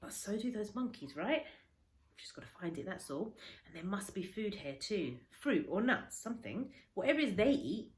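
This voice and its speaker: A woman's voice: mid-range voice, big pitch swings, moderate pace, voice pushed up, lightly acted. A woman reading the part of a stranded child in a storybook, anxious but determined, urgent and emphatic in short bursts. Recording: echoey room, faint background noise.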